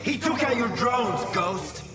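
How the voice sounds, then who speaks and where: Arrogant light-being villain, slow scornful taunts, swooping pitch, roomy echo. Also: male voice, animated, over music, full character voice. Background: loud game score.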